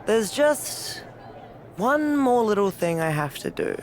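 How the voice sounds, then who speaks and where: A woman's voice: mid-range voice, big pitch swings, takes it slowly, slight background noise. A woman playing a cocky, teasing champion wrestler in a video game cutscene. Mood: coolly sly.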